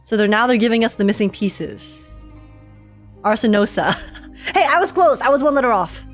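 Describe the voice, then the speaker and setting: A woman giving excitable, slangy, casual commentary as she streams and reacts to a video game's story. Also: a little high, moderate pace, faint music behind. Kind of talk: casual gaming livestream commentary.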